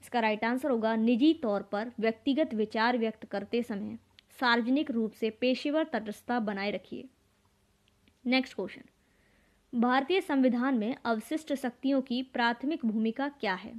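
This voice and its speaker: Woman teacher w a highish voice, reading out quiz questions and answers methodically. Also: moderate pace. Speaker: exam-prep teacher.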